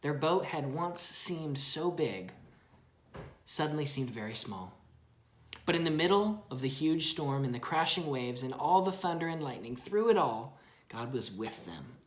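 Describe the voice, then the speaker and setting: A woman's voice: low-pitched, lively intonation, unhurried, roomy sound. A woman reading a children's Bible story aloud expressively, chatting with a young child and asking simple questions.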